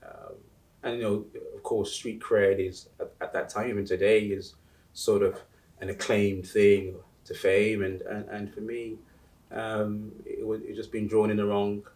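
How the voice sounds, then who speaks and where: A man in his forties from Uganda, answering interview questions in a halting way, with many restarts and fillers. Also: lowish voice, little pitch movement, a little mellow, recorded from a distance. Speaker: interview guest. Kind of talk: casual interview talk.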